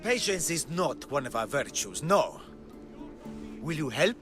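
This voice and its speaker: A theatrical self-proclaimed god, lively pitch. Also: male voice, over music. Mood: smug, admiring. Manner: theatrical, grandiose, dramatic pauses. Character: eccentric self-proclaimed god, a theatrical male Greek philosopher. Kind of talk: video game character acting.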